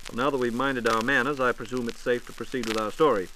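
A man's voice: medium pitch, fairly even intonation, fast, pushed and bright. An American man hosting a scripted 1940s radio science programme and putting questions to a reporter. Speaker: radio host. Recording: faintly noisy 1940s radio transcription disc.

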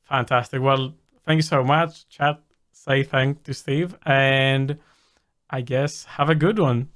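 A man's voice: mid-range voice, fairly even intonation, a bit bright. A man hosting a tech podcast, speaking in a friendly, appreciative way as he wraps up the talk with his guest. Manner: friendly, thankful, quick. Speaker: podcast host. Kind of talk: casual interview chat.

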